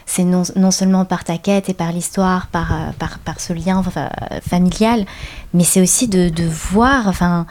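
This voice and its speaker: A woman's voice: on the low side, steady tone, moderate pace, darkish tone, a little hiss or hum. A woman from the Paris suburbs talks earnestly in a casual conversation. She tells her own experiences with animation, often restarting her sentences. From Paris suburbs, France.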